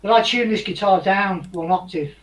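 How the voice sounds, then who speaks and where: High-pitched guy musician chatting, a bit far from the mic. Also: moderate pace. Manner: chatty, laughs easily. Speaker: guest musician. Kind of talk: casual chat.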